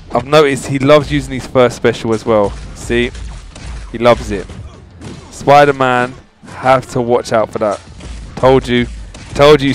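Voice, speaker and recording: Chatty male gamer over game music. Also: mid-range voice. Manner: informal, chatty, addressing viewers directly. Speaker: gaming channel host. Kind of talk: casual video game walkthrough commentary. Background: video game music.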